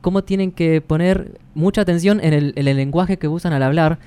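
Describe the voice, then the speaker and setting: A man's voice: a little high, fairly even intonation, brisk, warm tone, clean tone. An Argentine man co-hosting a podcast in casual discussion. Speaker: podcast co-host.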